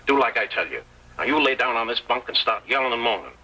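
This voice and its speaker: An actor playing a scheming, bossy outlaw in an old Western radio drama, talking in colloquial cowboy style. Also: male voice, medium pitch, very animated, fast talker, bright, forceful tone, slightly rough, exaggerated voice. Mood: sly, commanding. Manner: commanding, colloquial cowboy talk. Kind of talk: 1930s-40s Western radio acting. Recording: noisy old-time radio recording.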